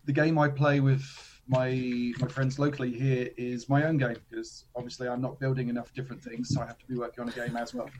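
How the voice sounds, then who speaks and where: A man, a slightly hoarse guest chatting casually about tabletop games, with self-deprecating asides. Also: medium pitch, level tone, brisk, hardly any pauses, a little mellow, a little hiss or hum. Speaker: guest, tabletop game designer. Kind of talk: casual interview chat.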